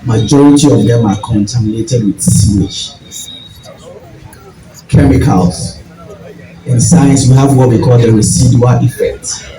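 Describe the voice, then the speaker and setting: Man, deep voice, Ghanaian accent, deliberate public speaker, rhetorical questions, far from the mic, audible crowd. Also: dark tone. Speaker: guest speaker, farming group leader. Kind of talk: public talk with questions to the audience. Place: event venue with audience. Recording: distant, echoey hall with audience noise.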